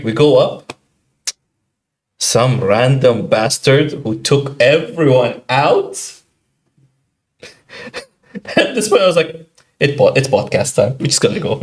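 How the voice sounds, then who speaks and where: A man co-hosting a podcast, telling a video game story in a casual, animated way and acting out what other players said. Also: mid-range voice. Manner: animated, quick, acts out quoted players. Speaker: podcast co-host.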